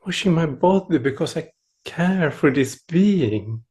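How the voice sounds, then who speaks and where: A middle-aged man from Sweden with a Swedish accent, a native Swedish speaker, giving a gentle, playful meditation talk over a video call, often chuckling and pausing to search for words. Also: on the high side, lively intonation. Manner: unhurried, with pauses and searching for words. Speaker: meditation teacher. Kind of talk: dharma talk at an online retreat.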